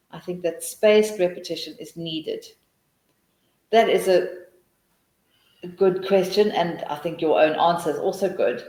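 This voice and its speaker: A woman's voice: lowish voice, a little mellow, reverberant, poor audio. A middle-aged woman with a South African accent, answering questions in a webinar and thinking aloud with many restarts. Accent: South African English. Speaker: teacher trainer.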